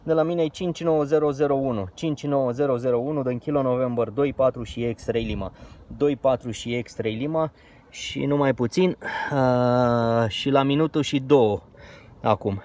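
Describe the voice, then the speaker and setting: A man, an amateur radio operator, speaking into a station microphone in a measured, technical way with small hesitations. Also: medium pitch, a little mellow. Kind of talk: radio contact exchange.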